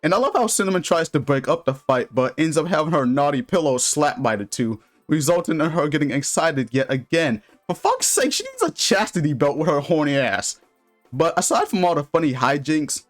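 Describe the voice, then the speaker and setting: Male reviewer, average pitch, informal and cheeky scripted narration, light music bed behind the voice. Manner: informal, slangy, crude humor. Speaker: video reviewer. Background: background music.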